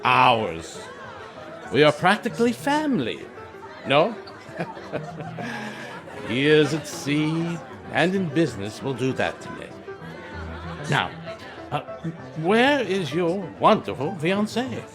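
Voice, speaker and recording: An actor playing a genial, ceremonious middle-aged merchant hosting guests in an audio drama, with music underneath. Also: male voice, on the high side, wildly swooping pitch, slow pace, pauses often, a crowd in the background, heavily acted. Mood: jovial, welcoming. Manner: hearty, ceremonious, chuckling. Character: jovial, genial wealthy merchant host, proud Monsieur Morel.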